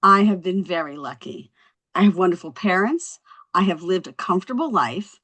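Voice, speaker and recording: An actress playing a brash, quick-witted young wife in a scripted comedy table read. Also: female voice, fairly low pitch, lively intonation, a bit bright. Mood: proud, speechmaking.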